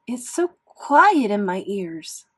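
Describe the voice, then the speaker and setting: A woman's voice: medium pitch, very animated, slow pace, slightly rough, exaggerated voice. A woman voicing a happy, earnest young boy in a storybook read-aloud. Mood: happy, relieved. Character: young boy with hearing aids, happy, polite.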